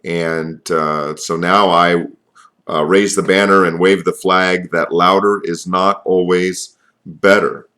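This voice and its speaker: A man with a notably deep voice gives informal, instructional advice as a preaching coach. He is earnest and stresses his key points, with hesitant pauses between phrases. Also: a bit bright. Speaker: open-air preaching coach and radio host.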